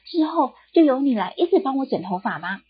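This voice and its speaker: A vain storybook king who is quick to flare into threats, as a woman acts him out. His voice sits raised and husky, and the recording is dull and lo-fi. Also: high voice, big pitch swings, pitched above the performer's own voice, exaggerated voice. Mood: pleased.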